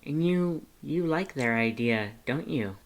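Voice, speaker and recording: A narrator plays a teasing, affectionate monster man in an audio story. He talks in a coaxing, playful way, pitched a bit above plain narration. He is encouraging and full of pet names. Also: animated, takes it slowly. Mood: gently chiding.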